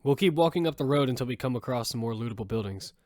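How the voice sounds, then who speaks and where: A man narrating an audio drama in first person as its lead, telling the story with quick urgency over music. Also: mid-range voice, animated, a little mellow, dry recording, studio quality, natural voice.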